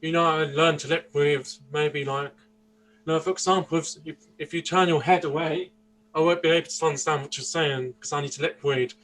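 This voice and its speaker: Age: 20s. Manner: earnest, halting, flat. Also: male voice, on the high side, poor audio. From Austria. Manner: earnest, halting, frequent restarts and searching for words. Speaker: interview guest. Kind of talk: casual interview answers. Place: indoors, seated interview.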